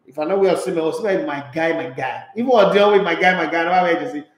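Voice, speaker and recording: Nigerian man, sports radio host, emphatic, slightly high voice, echoey studio. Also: racing. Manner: animated, emphatic, mixes pidgin with English. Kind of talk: live sports talk show, reading viewer comments. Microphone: studio microphone with headphones.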